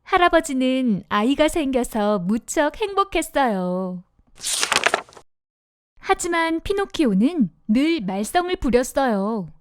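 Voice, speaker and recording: A woman narrating a children's storybook in an expressive, sing-song manner. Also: high-pitched, slow, clear, resonant tone.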